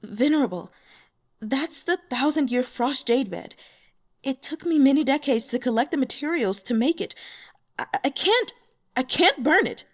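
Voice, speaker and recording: A woman's voice: high voice, animated, moderate pace, pitched above the performer's own voice. A woman voicing a scheming female sect protector who feigns innocence, theatrical and self-excusing, in a fantasy audiobook. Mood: fearful pleading.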